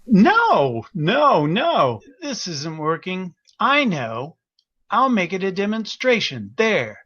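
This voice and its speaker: A man's voice: high-pitched, huge pitch swings, slow, a bit bright, slightly rough, no echo, muffled recording, full character voice. A man playing a flustered, self-important and oblivious interviewer in a comic audio drama, fussy and earnest in delivery. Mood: earnest narrating.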